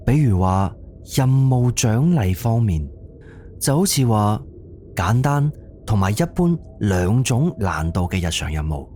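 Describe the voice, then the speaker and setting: A man's voice: mid-range voice, mellow, slight background noise. A man with a Cantonese accent narrating a horror mystery audio drama in a dramatic storytelling style.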